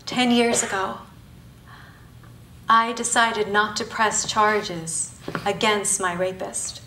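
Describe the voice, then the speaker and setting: A woman's voice: mid-range voice, fairly even intonation, sharp tone, far from the mic, slight background noise. Emphatic woman in an echoey hall. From Bangladesh, raised in Thailand. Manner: emphatic, rhetorical delivery with pauses between listed words. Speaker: spoken-word performer. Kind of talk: live spoken-word performance. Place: university auditorium before an audience.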